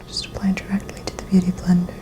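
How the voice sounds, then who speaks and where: A woman speaking in a breathy whisper, gently and politely explaining each step as a makeup artist in an ASMR role-play. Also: fairly low pitch, completely flat delivery, quick pace. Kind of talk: ASMR role-play, personal-attention beauty treatment.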